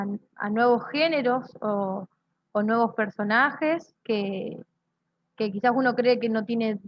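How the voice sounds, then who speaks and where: A dull, lo-fi recording of a young woman with a fairly high voice. She explains things conversationally, feeling her way through phrases as a theatre group member in an interview. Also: no echo. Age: young adult.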